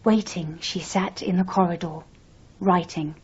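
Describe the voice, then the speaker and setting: Slow, husky, dreamy writer. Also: female voice, on the low side, steady tone. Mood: brooding, literary. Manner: deliberate, self-dramatising. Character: dreamy, self-narrating aspiring woman novelist. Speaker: actor in a radio play. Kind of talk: literary inner narration in radio drama. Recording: dull 1980s radio recording.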